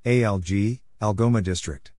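A man with a somewhat low voice reads from a script for a practice drill. He delivers short, separate items, either spelling out letters one by one or announcing place names as an instructor would. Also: moderate pace, darkish tone, no room sound. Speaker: Morse code practice instructor.

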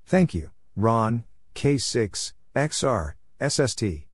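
A man reading scripted short exchanges as a practice narrator, deliberately spelling out letters and numbers one at a time. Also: medium pitch, sing-song, husky, no echo, studio quality. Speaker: narrator of Morse code practice.

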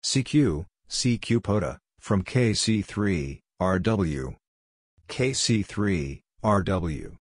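A man reading scripted radio practice exchanges as an instructor, in short, deliberate, repeated phrases. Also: low-pitched, lively intonation, no echo, studio quality. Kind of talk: scripted radio call-sign drill, short spoken phrases.

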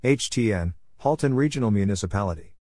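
A man reading short scripted items in a plain, deliberate announcing manner, spelling out letters and naming places. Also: fairly low pitch, lively intonation, darkish tone, no echo, clean studio sound. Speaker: Morse code practice narrator.

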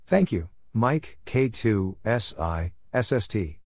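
Slow, low-voiced man reading call signs. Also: very animated, slight background noise. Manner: measured, clipped phrases with separated letters and words. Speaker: narrator of Morse code practice drills. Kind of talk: spoken readback of call signs and short radio exchanges, letter by letter.

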